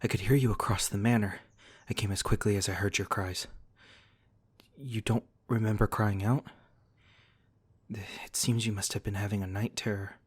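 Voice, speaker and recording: A man playing a courteous, protective centuries-old vampire lord in an ASMR roleplay, speaking formally and gently to the listener with polite apology. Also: lowish voice, steady tone, moderate pace, slightly rough, no echo. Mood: concerned.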